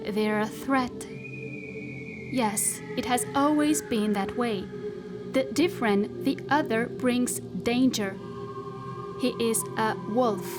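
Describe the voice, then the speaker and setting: Sci-fi audio drama: female actor as a smug, possessive machine intelligence, higher-pitched, unhurried, insinuating, over-articulated. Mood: ominous. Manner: slow, deliberate, over-articulated, intimate and insinuating. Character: possessive, coaxing spacesuit AI machine, self-satisfied and controlling. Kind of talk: theatrical science fiction monologue. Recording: music behind the voice.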